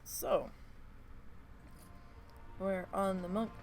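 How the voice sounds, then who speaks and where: A woman's voice: medium pitch, steady tone, extremely slow, a little mellow, slight background noise. A woman streaming a game and chatting casually to viewers, her voice stuffy and nasal from allergies, rambling with many ums. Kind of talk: casual live-stream chat.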